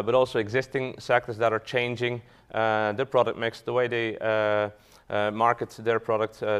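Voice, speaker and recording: A man's voice: lowish voice, smooth. A man from Belgium, a fund manager giving a business talk to an audience, hesitant with frequent fillers and restarts.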